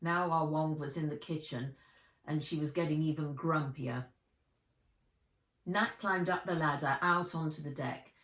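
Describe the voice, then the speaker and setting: A woman's voice: low-pitched, measured pace. A woman reading a children's adventure story aloud as its author, expressively, in a storytelling style. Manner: expressive, acts out the dialogue. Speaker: author reading her own book aloud. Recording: roomy echo, distant from the mic.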